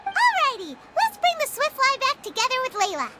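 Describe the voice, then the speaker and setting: A tiny female fairy sidekick from a video game, extremely high and childlike. She is excitable and curious, with a lively, bouncing melody. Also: fairly quick, heavy background noise, exaggerated voice. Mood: perky. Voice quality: squeaky, childlike. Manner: speaks of herself in third person, perky.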